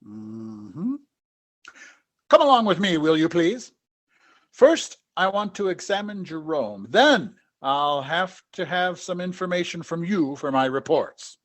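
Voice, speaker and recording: A woman playing a stern, evasive doctor in an old-time radio drama, with a husky voice and an officious, halting manner. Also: on the low side, lively intonation, full character voice.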